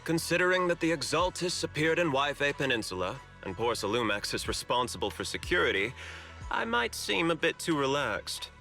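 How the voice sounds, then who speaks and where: A polished, shrewd company manager in a video game. His bright voice swings expressively as he talks, courteous and theatrical, with music behind him. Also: on the high side, very animated, brisk, creaky, exaggerated voice. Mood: courteous, composed. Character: polished, smooth-talking male corporate manager, amiable and shrewd. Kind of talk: English video game dub acting.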